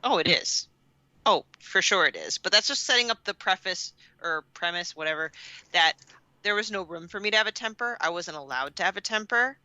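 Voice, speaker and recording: A woman's voice: fairly low pitch, animated, brisk, pushed and bright, no room sound, dull audio. A woman co-hosting a podcast, chatting casually and candidly with emphatic phrasing, close to the microphone. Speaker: podcast co-host. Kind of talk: casual personal chat.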